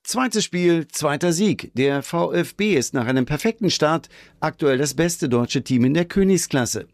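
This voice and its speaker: A man's voice: on the high side, lively intonation, unhurried, dry recording, clean studio sound. A man reading a scripted sports report as a radio reporter.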